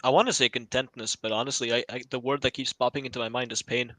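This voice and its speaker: A man appearing as a podcast guest, chatting casually and thoughtfully with hesitant pauses and "you know". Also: medium pitch, fairly even intonation, bright, forceful tone, no room sound. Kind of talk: casual, thoughtful panel chat.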